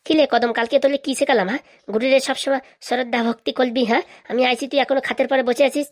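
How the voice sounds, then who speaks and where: Cartoon gang boss, a small boy played by a woman; childish lisp, threatening manner, very rapid delivery, dry close sound. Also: high voice, fairly even intonation, no echo. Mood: scolding. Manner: bossy, threatening, scolding. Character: bossy little boy gang leader, cocky bully. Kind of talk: comic cartoon dialogue.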